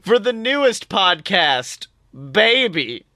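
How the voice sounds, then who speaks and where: Young adult podcast host, bright forceful tone, very lively pitch, playful exaggeration, casual fan chat. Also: slow, no echo, clean studio sound. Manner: animated, exaggerated, joking delivery.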